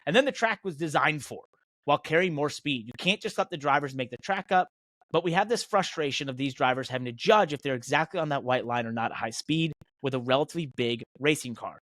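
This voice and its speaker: A man's voice: fairly high pitch, animated, fast, a bit bright, dry recording. An American man hosting a motorsport podcast, talking in an emphatic, indignant and opinionated way. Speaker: podcast host and professional race car driver.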